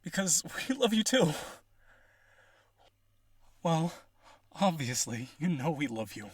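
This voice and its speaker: A man's voice: fairly high pitch, big pitch swings, takes it slowly, breathy edge, no room sound, exaggerated voice. A man playing a rich, devoted vampire aristocrat in a roleplay audio, earnest and pleading, with gushing, hesitant speech. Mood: shy, pleading.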